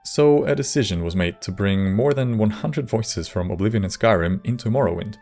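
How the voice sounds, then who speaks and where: A man reading scripted video essay narration in a measured, wry manner. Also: fairly low pitch, expressive, gravelly, a little mellow, soft background music.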